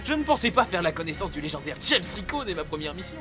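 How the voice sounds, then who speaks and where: An actor playing an eager, flustered rookie agent with a youthful voice in an audio drama, excitable and hesitant. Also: male voice, high-pitched, fast. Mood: nervous, starstruck. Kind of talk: French audio drama acting. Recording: music under the voice.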